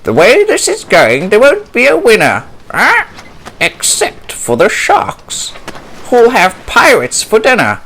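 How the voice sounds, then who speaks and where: A squawky female parrot from a children's storybook, acted out by a man. The voice jumps up high and swings widely. The bird is fussy and determined, exclaiming and breaking into squawks. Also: huge pitch swings, slow pace, a bit bright, exaggerated voice. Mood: scolding.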